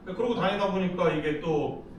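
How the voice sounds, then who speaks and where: A man's voice: high-pitched, a little fast, distant mic, slight background noise. A middle-aged man from Seoul giving a casual, chatty lecture, telling stories with frequent laughs. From Seoul, South Korea. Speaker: lecture speaker. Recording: echoey hall, speaker off-mic.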